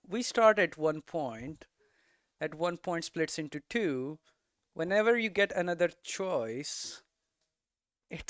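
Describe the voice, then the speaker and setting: A man giving an informal explanatory lesson as a presenter, posing questions and answering them himself in halting, emphatic phrases. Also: on the high side, no echo, clean studio sound. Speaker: presenter of a game development explainer series.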